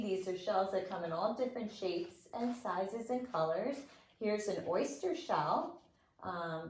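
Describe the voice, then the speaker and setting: A woman's voice: medium pitch, a little mellow. A woman nursery school teacher leading children's circle time with rhymes and finger plays in a playful, encouraging, sing-song way. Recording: roomy, echoing indoor sound.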